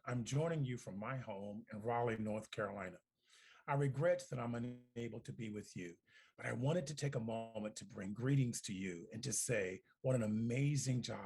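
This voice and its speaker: A middle-aged man delivering a congratulatory speech as a community organization director, deliberate and emphatic, with rhetorical repetition. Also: on the low side, darkish tone, slightly rough. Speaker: community organization director giving recorded remarks. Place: at home.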